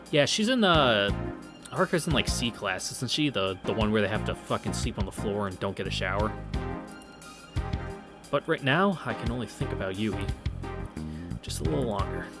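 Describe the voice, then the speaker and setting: A man with a fairly low voice reads a visual novel aloud for an audience. He slips between story narration and casual, irreverent, sweary commentary. Game music plays clearly behind him. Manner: irreverent, profane. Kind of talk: read-through with casual asides.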